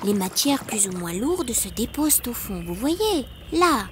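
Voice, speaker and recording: Lively high-pitched cartoon spider guide. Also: female voice, measured pace, noisy background. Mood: explaining, reassuring. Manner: enthusiastic, instructive, playful. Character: tiny lively friendly spider guiding children, explaining nature. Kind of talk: animated educational cartoon acting.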